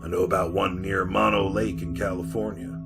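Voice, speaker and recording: An actor playing a sinister, haunted survivor who serves an underground power, telling his tale deliberately in a retro radio drama. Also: low-pitched, little pitch movement, music in the background, close to an everyday voice. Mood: ominous, insistent. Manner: ominous, deliberate storytelling.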